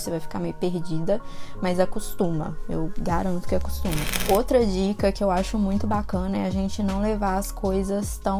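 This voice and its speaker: Chatty Brazilian woman with gravelly fry. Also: mid-range voice, mellow. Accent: Brazilian Portuguese. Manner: chatty and slangy, addresses viewers directly. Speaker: vlogger. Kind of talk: casual vlog talk to camera. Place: lives in Ireland, home recording.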